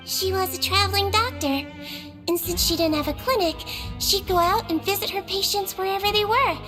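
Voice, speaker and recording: A woman voicing a small, gentle, slyly sweet girl nurse from a magical folk in a video game, patient and teasing. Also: very high-pitched, normal speed. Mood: gentle, cheerful. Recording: music behind the voice.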